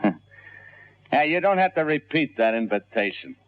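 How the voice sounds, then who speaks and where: An actor playing a gruff, possessive crook in 1940s radio crime drama style. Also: male voice, a little high, animated, moderate pace, dry recording, close to an everyday voice. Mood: tipsy, possessive. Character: gruff, possessive tough-guy male crook, easily charmed. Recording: thin, tinny old radio recording.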